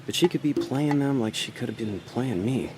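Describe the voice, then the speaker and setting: Man acting a wry hard-boiled con man, lowish voice. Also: expressive, moderate pace, background noise, close to an everyday voice. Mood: wry, brooding. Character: laid-back male con man narrating his noir tale. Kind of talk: noir audio drama acting with inner monologue.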